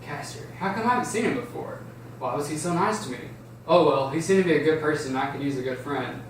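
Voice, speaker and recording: A shy, nervous teenage boy who longs for a friend , read by a man at an amateur table read. The voice is slightly high. It comes from some distance in a very echoey room. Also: fast, close to an everyday voice. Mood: earnest. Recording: echoey room, distant mic, faint noise.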